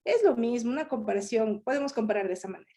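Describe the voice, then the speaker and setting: High, mellow-toned interpreter. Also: female voice, expressive, fast. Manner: explanatory, repeating points in short phrases. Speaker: sign language voice interpreter. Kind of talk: live voicing of a signed talk. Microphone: video call.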